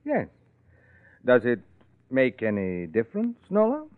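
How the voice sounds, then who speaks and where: A man's voice: medium pitch, huge pitch swings, a little slow, no room sound. An actor playing a wry, bitter failed male playwright with sardonic, needling delivery in old-time radio drama style. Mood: pointed. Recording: muffled vintage radio recording, narrow band.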